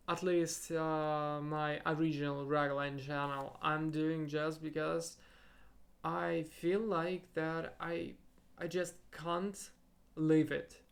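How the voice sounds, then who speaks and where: A young man whose first language is Russian, thinking aloud in a halting solo podcast monologue and searching for his words. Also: on the high side, creaky. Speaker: podcast host.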